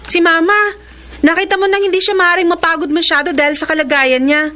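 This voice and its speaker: An actress playing a hardworking, sharp-tongued young businesswoman and bossy elder sister in a Filipino radio drama, with an expressive, preachy delivery. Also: extremely high pitch, brisk. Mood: scolding.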